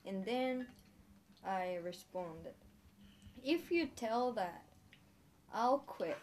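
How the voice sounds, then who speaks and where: A woman's voice: medium pitch, lively intonation, drawn-out words, a little hiss or hum. Japanese-accented teenage girl. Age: teens. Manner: broken English, candid. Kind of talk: casual conversation.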